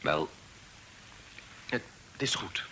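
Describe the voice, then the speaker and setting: An actor playing a well-bred, earnest young gentleman in a period radio play, speaking politely and formally. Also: takes it slowly, poor audio. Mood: hesitant. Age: young adult. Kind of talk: period radio drama acting. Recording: hissy old radio play recording.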